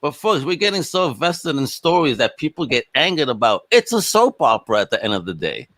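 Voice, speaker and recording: A man hosting a podcast in a casual, slangy chat style with lively banter. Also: mid-range voice, brisk, no room sound. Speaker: podcast host.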